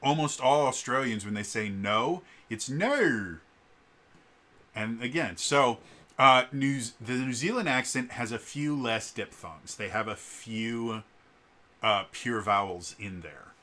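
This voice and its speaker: A man's voice: medium pitch, slow pace, bright, forceful tone. A man talks casually as a vlogger and game master, explaining things in a digressive, storytelling way. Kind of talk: casual rambling storytelling vlog.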